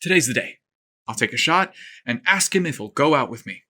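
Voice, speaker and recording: A man's voice: medium pitch, lively intonation, brisk, pushed and bright. A man voicing an anxious, eager young male golf pro in an audio story, fretting with questions. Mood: determined. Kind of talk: audio story character acting.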